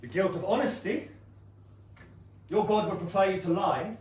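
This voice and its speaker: A man acting a wry, wounded gentleman in a staged play reading, measured, articulate and probing. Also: high-pitched, lightly acted. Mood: bitter, cutting. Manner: ironic, probing, cutting. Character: wry, sceptical, wounded gentleman in love with his friend. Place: small theatre, recorded from a distance. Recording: roomy, distant theatre recording, faint noise.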